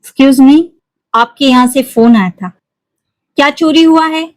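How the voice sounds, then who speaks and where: Commanding female officer for a cartoon, voiced by a woman; high, brisk, official. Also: no room sound. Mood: firm, official.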